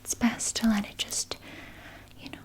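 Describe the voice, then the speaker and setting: A woman's voice: normal speed, close to an everyday voice. Whispering, sweetly sinister vampire nurse played by a woman. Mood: gently coaxing. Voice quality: soft, breathy whisper. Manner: hushed, gently coaxing, soothing. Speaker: ASMR performer playing a nurse. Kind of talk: whispered ASMR personal attention roleplay.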